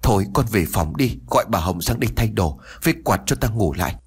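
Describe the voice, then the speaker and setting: A man's voice: lowish voice, animated, brisk, slightly rough. A man doing a stammering, frightened old lord. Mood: shaken. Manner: stammering, pleading.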